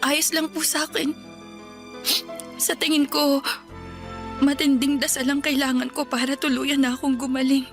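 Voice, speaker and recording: An actress playing a remorseful young woman covered in sores, speaking softly and apologetically in a radio drama. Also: high-pitched, little pitch movement, moderate pace, pauses often, faint music behind. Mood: downcast. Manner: soft, downcast and apologetic.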